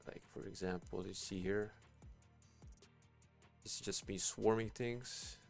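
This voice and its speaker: Male, lowish pitch, gravelly fry, informal rambling game streamer, thinking aloud, background music. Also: fairly even intonation, slow pace. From Bosnia and Herzegovina. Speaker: live streamer. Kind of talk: casual game stream commentary.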